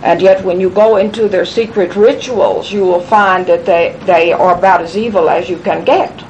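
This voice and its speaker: A woman's voice: steady tone, moderate pace, a little mellow, poor audio. A woman giving a rambling, emphatic conspiratorial talk, answering questions at a gathering, repeating phrases and restarting sentences. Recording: low rumble noise in background.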